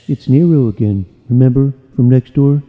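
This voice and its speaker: This is a mild, friendly schoolboy stuck beside his neighbor, as a man acts him out. His voice comes through thin and narrow-banded, and a long reverb trails after it. He speaks gently and patiently. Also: mid-range voice, normal speed, faint music behind, lightly acted.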